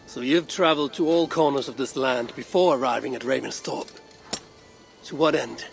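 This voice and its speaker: A man playing a blunt, commanding Viking clan leader in a dramatic video game, with terse, measured delivery. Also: mid-range voice, gravelly, soft background music, close to an everyday voice. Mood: guarded, skeptical.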